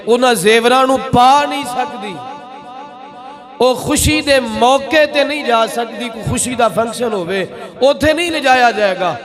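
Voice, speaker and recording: High-pitched Punjabi preacher, man with crowd behind. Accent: Pakistani Punjabi. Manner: emphatic, rhetorical repetition and questions. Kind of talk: sermon.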